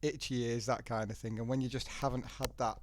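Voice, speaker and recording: A man's voice: mid-range voice, little pitch movement, a little fast, noisy background. A man with a head cold and a blocked nose, hosting a live trading webinar and walking through charts step by step in a chatty way. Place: working from a laptop in a home setting. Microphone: laptop.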